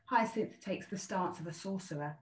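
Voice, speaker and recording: A woman's voice: fairly low pitch, moderate pace, slight background noise. A woman narrator reading out the scripted stage directions of a play. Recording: echoey, distant from the mic.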